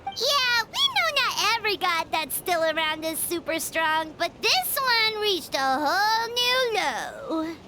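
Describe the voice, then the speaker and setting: A woman voicing a tiny, excitable female companion in a video game, talking in quick exclamations. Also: heavy background noise, exaggerated voice. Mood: wry. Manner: high squeaky voice, quick exclamations. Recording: game audio with loud music behind.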